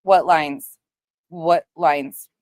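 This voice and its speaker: A woman's voice: low voice, fairly even intonation, drawn-out words, a little mellow, dry recording. A woman commentating on played clips on an online talk show, casual, opinionated and emphatic.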